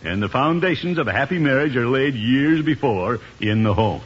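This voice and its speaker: An actor playing a pompous, self-satisfied middle-aged uncle in a 1950s radio comedy. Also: male voice, mid-range voice, lively intonation, moderate pace, breathy edge. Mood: smug. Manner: pompous, preening, chuckling. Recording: muffled, noisy 1950s radio recording.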